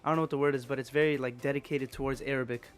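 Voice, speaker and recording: A teenage boy, a student guest, chatting casually on a radio show in a quick, animated way. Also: medium pitch, smooth, soft background music. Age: teens.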